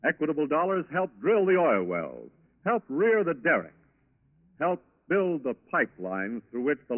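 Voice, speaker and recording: An American man announcing on 1940s radio, reading a script in a formal, rousing, emphatic style. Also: a little high. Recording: muffled 1940s radio broadcast recording.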